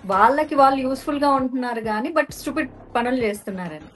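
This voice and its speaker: A woman's voice: sits high, lively intonation, fast, faint music behind. A woman hosting a podcast, chatting and questioning her guest in a casual conversational style. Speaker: podcast host.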